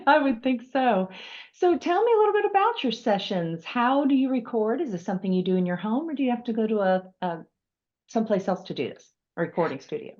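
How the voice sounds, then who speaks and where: Lively woman interviewer, dull lo-fi sound. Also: on the high side, a little fast. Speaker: interviewer, host. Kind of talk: casual interview.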